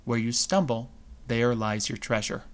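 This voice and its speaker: A man with a mid-pitched voice leads a guided visualization meditation. He delivers it in measured, deliberate phrases, leaving space between them as a meditation guide does. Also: steady tone.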